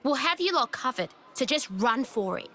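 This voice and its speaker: A woman's voice: sits high, very animated, normal speed, pushed and bright, a little hiss or hum, full character voice. An actress playing a brash, sarcastic young woman rebel leader in a video game, with a blunt, cocky way of talking. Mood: commanding. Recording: game music behind the voice.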